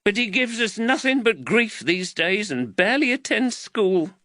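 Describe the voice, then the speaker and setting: An exasperated, angry mother from a storybook, played by a man. The voice is shrill and pitched high, scolding with heavy emphasis and wide swings. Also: very animated, normal speed, a bit bright, dry recording, clean studio sound. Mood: anguished.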